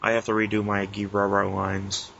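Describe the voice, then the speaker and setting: A man's voice: lowish voice, monotone, normal speed, gravelly, fairly bright tone. A man co-hosting a podcast, chatting casually with friends in a grumbling, profane way. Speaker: podcast co-host. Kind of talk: casual group chat. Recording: muffled lo-fi audio, background noise.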